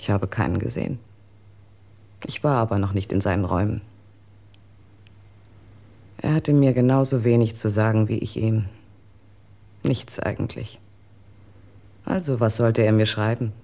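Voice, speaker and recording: An actress in a German radio drama playing a proud, cold wife in a wheelchair, with a cutting, sardonic, articulate delivery. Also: female voice, extremely low pitch, a little slow, gravelly, pauses often, warm tone, full character voice. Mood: cold, defensive.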